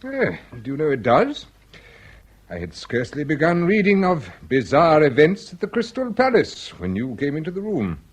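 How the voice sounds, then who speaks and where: An actor playing a courtly, fretful and florid Victorian lord with a husky voice in a British radio drama. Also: male voice, fairly high pitch, huge pitch swings, normal speed, full character voice. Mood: solicitous. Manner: florid, mannered, pompous.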